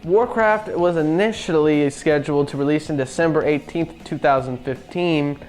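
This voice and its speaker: A man's voice: a little high, normal speed, darkish tone, faint music behind, rough recording. A man co-hosting a show about video games, talking through his commentary. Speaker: show co-host. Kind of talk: scripted narration and casual opinionated talk about video game films.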